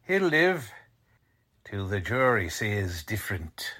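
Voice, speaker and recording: An actor playing a tough, confident police lieutenant in 1940s-style radio drama. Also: male voice, on the low side, sing-song, slow pace, bright, forceful tone, slightly rough, full character voice. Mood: confident, wry.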